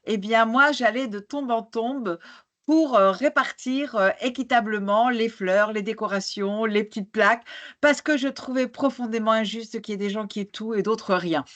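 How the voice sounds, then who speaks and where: A woman's voice: on the high side, normal speed, fairly bright tone. A woman speaking on a conference panel who grew up abroad. She talks with passion but hesitates, restarting often. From born in Algeria, grew up in Argentina. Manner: hesitant, many restarts, passionate about injustice. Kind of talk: panel discussion answer.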